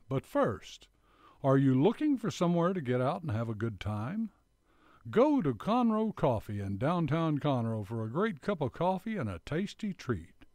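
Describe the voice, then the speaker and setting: A man's voice: fairly high pitch, darkish tone, dry recording. A man announcing for radio, reading scripted credits and sponsor copy.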